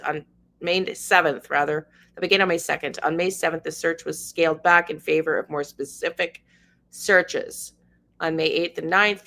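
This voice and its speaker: A woman's voice: deep voice, a bit bright. A middle-aged woman, hosting a casual livestream, reading news aloud and chatting with viewers by name. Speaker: livestream host.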